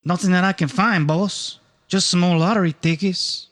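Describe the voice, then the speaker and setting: A man playing a high-voiced cheeky henchman. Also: steady tone, moderate pace, fairly bright tone, no echo, studio quality. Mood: dutiful. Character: cheeky henchman Freddy, crime boss's sidekick. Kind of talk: noir radio-play acting.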